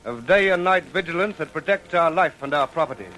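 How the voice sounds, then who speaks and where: Higher-pitched, solemn male radio narrator. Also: lively intonation, bright, forceful tone, soft background music, rough recording. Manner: solemn, measured, portentous. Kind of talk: dramatic scripted old radio narration.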